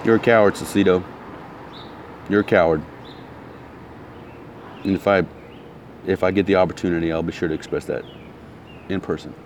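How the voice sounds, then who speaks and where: A man's voice: low voice, steady tone, normal speed, pauses often, a little hiss or hum. A man ranting in a casual monologue, blunt and heated, repeating himself, his throat still recovering from soreness. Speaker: vlogger.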